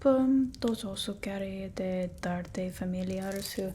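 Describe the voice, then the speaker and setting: Casting: haughty female vampire played by a woman for whispered ASMR roleplay, slow and fussy, mellow tone. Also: medium pitch, a little hiss or hum, close to an everyday voice. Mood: haughty, instructing. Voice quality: breathy whisper, soft voiced in places. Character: haughty fussy female vampire mistress instructing her familiar. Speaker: ASMR creator.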